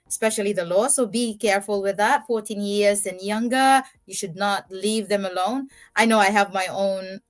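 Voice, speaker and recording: Earnest middle-aged Filipina podcast host. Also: female voice, medium pitch. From Philippines. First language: Ilonggo. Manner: earnest, advice-giving, hesitant fillers. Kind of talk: casual podcast chat and closing remarks.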